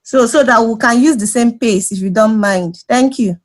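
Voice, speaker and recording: A fairly high-voiced woman speaks up over a video call during an online workshop. She is courteous and a little apologetic, asking the trainer to ease the pace. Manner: polite, apologetic request to slow down. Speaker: workshop participant. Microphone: computer mic on a video call.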